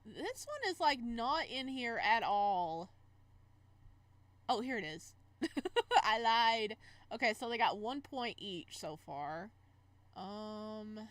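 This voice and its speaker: A woman reading a visual novel aloud and commenting casually as she plays, expressive and reacting out loud, sometimes stumbling over the text. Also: fairly high pitch, takes it slowly, dry recording. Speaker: game streamer, let's player.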